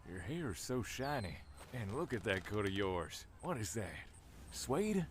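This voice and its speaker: A rustic stranger in an audio drama, with a lowish voice that rises and falls freely. He is forward and flirtatious, drawling his nosy questions over faint outdoor noise. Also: very animated, measured pace. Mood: prying. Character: folksy, forward middle-aged rustic male stranger.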